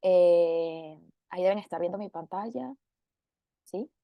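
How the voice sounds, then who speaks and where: A hoarse woman with a Venezuelan accent, a photojournalist giving a relaxed, unhurried talk over a video call, with many filler pauses. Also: lowish voice, dry recording, lo-fi sound. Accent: Venezuelan Spanish. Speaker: photojournalist guest speaker. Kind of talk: relaxed talk on an online panel.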